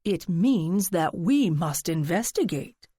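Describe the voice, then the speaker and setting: A woman's voice: lowish voice, lively intonation, slow pace, mellow, slightly rough, dry recording, exaggerated voice. A woman narrator plays a flamboyant, theatrical man in an audiobook, his voice swooping up and down with showy flair. He calls people "darling" and savors every dramatic word. It is recorded close and dry, with no room sound. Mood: conspiratorial. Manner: theatrical, drawling "darling", flourishing. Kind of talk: audiobook character voice.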